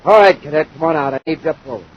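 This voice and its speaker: An actor playing a gruff, grudging henchman pilot, curt and impatient, in a 1950s radio serial. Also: on the high side, very fast, natural voice. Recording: noisy, dull 1950s radio recording.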